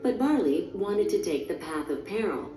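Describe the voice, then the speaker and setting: A woman's voice: fairly low pitch, expressive, normal speed, echoey room, recorded from a distance. A woman reading a scripted children's story as a storybook narrator. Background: music.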